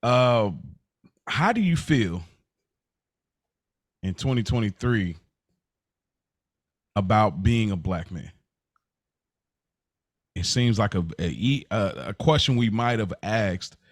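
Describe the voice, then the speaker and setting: A man's voice: on the low side, lively intonation, takes it slowly, long pauses, dry recording, clean studio sound. American man in casual podcast conversation. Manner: informal, slangy, musing. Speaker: podcast co-host.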